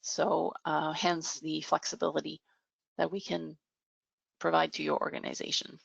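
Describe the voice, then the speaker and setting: Low, creaky-voiced woman presenting a demo. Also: little pitch movement, moderate pace, no room sound. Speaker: software product demonstrator. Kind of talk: explanatory business software demo. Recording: dull, muffled lo-fi audio.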